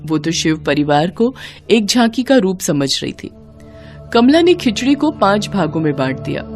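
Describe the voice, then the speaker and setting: A woman narrating a devotional children's story in a slow, even storytelling manner. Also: mid-range voice. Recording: music playing behind.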